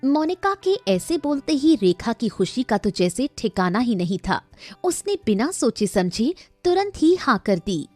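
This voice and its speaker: A woman storyteller reads a scripted tale with drama. Her voice is fairly high and very animated. The recording is dry, with soft music underneath. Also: unhurried, no echo. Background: faint music.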